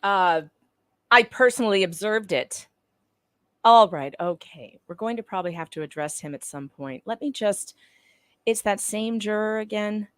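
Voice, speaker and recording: A woman reads legal dialogue aloud as a panelist, switching between conversational and theatrical delivery. The sound is dry, with very little room on the voice, and her pitch sits in the middle range for a woman. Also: expressive, moderate pace, a bit bright, no room sound. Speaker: panelist reading court transcript parts. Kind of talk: dramatic reading of courtroom dialogue with ad-libs.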